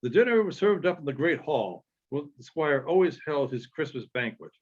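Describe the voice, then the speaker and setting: A man reading literary narration aloud in a halting way, with frequent pauses and small stumbles. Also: on the high side, sing-song, darkish tone, breathy edge. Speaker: audiobook reader. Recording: dull, lo-fi sound.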